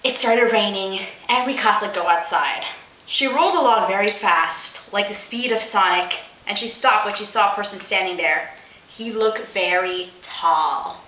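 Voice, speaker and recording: A woman's voice: mid-range voice, measured pace, pushed and bright, echoey room, rough recording. A woman reading comic fiction aloud as host, theatrical, with sarcastic, exasperated asides. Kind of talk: comedic dramatic reading with asides.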